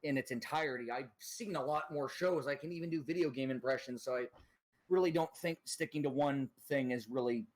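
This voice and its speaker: A man's voice: medium pitch, fairly quick. A man chatting over voice chat as a guest on a stream, rambling and hesitant as he talks about doing voice impressions. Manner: rambling, hesitant, self-conscious. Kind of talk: casual voice chat.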